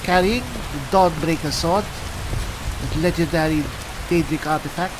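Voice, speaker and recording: A man playing a chatty, pompous adventuring cleric in an improvised fantasy game roleplay, halting and musing theatrically with dry humour. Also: on the high side, background noise, natural voice. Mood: musing, amused. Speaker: gamer playing a character.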